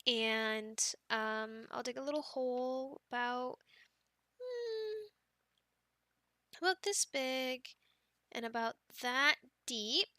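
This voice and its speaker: Young woman, soft rambly girlfriend roleplay, bright slightly high voice, dry close mic. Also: animated, takes it slowly, pauses often, no echo. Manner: soft, chatty and rambling, with many ums and light laughs. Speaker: ASMR roleplay creator.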